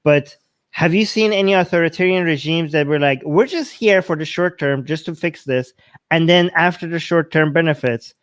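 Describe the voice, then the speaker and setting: A middle-aged man co-hosting a live Q&A chat, playful and self-mocking, halting with fillers. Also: on the high side, clear, resonant tone, no echo. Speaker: co-host.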